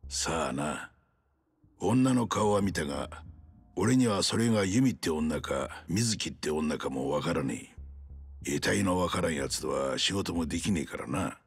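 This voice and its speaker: A weary middle-aged detective in a crime game cutscene. The voice is low and husky, and he lays things out bluntly in rough masculine speech. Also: animated, measured pace, exaggerated voice. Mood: matter-of-fact. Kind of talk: dramatic video game cutscene acting.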